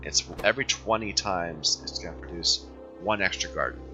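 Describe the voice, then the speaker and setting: A man with a hoarse voice from being sick, hosting a game playthrough and thinking aloud as he plays, often correcting himself. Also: low-pitched, normal speed, over music. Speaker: let's play host. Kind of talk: casual gameplay commentary.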